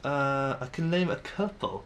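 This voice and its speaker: A man's voice: mid-range voice, sing-song, unhurried, fairly bright tone, slight background noise. A man in his twenties from the Manchester area of northern England, with a northern English accent, talking in a rambling, self-deprecating way to camera with many restarts. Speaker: vlogger. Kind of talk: casual monologue to camera.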